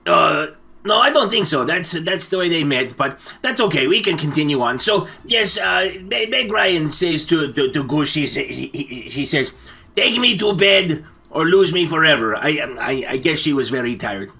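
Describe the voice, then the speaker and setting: Male comic storyteller: bright, forceful tone, rambling and stammering delivery, constantly drifting into asides. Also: a little high, lively intonation, moderate pace, slightly rough. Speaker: story-time show host. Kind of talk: comic, improvised movie recap storytelling.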